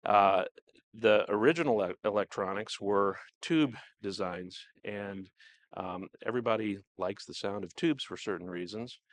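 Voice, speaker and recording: A man giving an unscripted, explanatory product presentation in a measured, halting way, as a company representative. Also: lowish voice.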